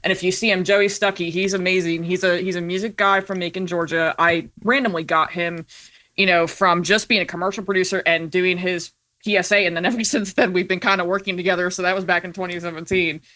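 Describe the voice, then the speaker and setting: A woman's voice: fairly low pitch, fairly even intonation, brisk, bright, forceful tone. A woman podcast guest telling stories in casual, informal conversation, peppered with "like" and "you know". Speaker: podcast guest, indie producer. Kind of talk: casual chat, storytelling.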